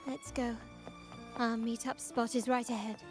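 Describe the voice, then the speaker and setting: A woman playing a poised young noblewoman in a video game, speaking in a measured, formal, commanding way. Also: a little high, level tone, over music, lightly acted. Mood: composed, businesslike. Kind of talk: scripted video game character acting.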